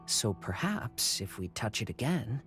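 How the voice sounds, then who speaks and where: A man playing a calm, wistful young noble wanderer in a game cutscene, speaking in a measured, reflective way. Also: on the low side, soft background music. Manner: measured, reflective, gentle phrasing. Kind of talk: scripted game cutscene dialogue.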